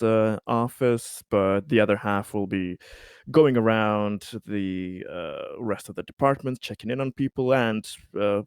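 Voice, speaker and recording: A man talking casually as a player in a tabletop roleplaying game, feeling out his words haltingly. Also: fairly low pitch, smooth, no echo, studio quality.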